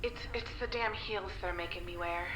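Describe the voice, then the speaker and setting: A woman's voice: lowish voice, normal speed, fairly bright tone, roomy sound, a little hiss or hum, natural voice, rough recording. A woman playing a cheeky young female prisoner in a naturalistic audio drama, prying and teasing with dry, sardonic wit. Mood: weary, irritated.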